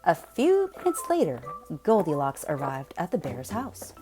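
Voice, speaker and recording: A woman reading a children's picture book aloud in a playful, expressive way, drawing out words for emphasis. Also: on the low side, moderate pace, music in the background. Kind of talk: animated children's read-aloud narration.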